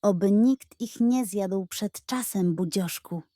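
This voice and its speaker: A woman voicing an energetic, homely female goat in a children's story, with a cheerful manner. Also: medium pitch, slow, no echo, studio quality, natural voice. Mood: teasing.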